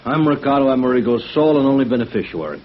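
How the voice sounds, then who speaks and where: A man's voice: medium pitch, lively intonation, rapid-fire, lightly acted. Brusque booking agent played by a man, muffled radio. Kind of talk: 1950s radio drama acting. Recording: dull vintage radio recording, faint music.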